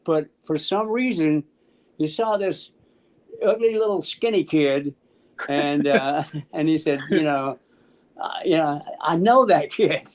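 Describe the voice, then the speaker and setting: An American man in his seventies casually telling anecdotes in an animated, halting way, acting out the dialogue. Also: a little high. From Memphis, United States. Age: 70s. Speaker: interview guest, musician. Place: small room at home, on a video call.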